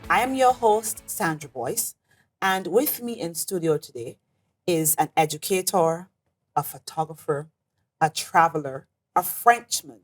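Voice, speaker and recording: A Barbadian woman hosting a studio interview, asking structured questions in a measured way. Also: on the low side, faint music behind. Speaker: host of an interview series.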